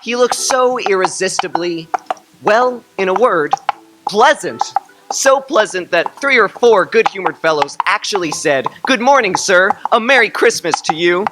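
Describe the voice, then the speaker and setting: A woman narrating a radio drama in a measured storytelling manner. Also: mid-range voice, animated, noisy background. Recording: loud clicking and chopping sounds.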